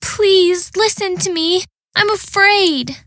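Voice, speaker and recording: A woman voicing an earnest, eager young girl with a high, pleading manner. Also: slow, fairly bright tone, dry recording, clean studio sound, full character voice.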